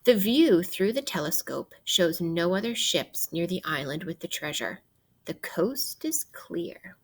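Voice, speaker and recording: A woman teacher reading a children's book aloud in an expressive way, guiding young listeners with questions and pauses. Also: on the low side. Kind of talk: interactive read-aloud of a children's nonfiction book.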